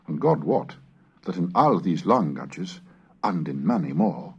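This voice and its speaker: A man reading scripted scholarly material aloud in a deliberate, declamatory manner, like a lecturer on a recorded course. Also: sits low, darkish tone, slightly rough. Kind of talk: scripted narration and dramatic reading of Middle English texts. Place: recorded narration on cassette. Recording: dull, lo-fi 1970s cassette recording.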